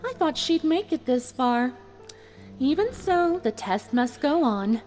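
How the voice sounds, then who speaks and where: Smug villain mare played by a woman, high-pitched and expressive, taunting with mock politeness, for an audio fan drama. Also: faint music behind, full character voice. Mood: smugly taunting. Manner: measured, mock-apologetic, taunting. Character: smug, cruel female pony villain running a deadly test.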